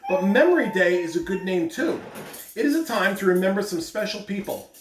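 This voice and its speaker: A man's voice: high voice, animated, brisk. Man reading as a patient storybook mother, echoey. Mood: reassuring. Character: patient, gently excited mother in a picture book. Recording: roomy, distant mic with background noise.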